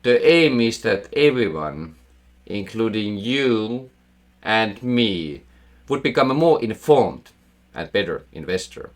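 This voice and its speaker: A man's voice: lowish voice, sing-song, slow pace. A man in his thirties with a Finnish accent, hosting an investing channel and narrating a scripted explainer with enthusiastic emphasis and wry asides.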